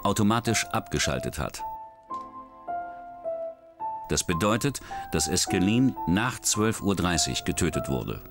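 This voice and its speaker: Low-voiced male documentary narrator. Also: very animated, a little slow, creaky, long pauses. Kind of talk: scripted true-crime narration. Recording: studio voice-over with music bed.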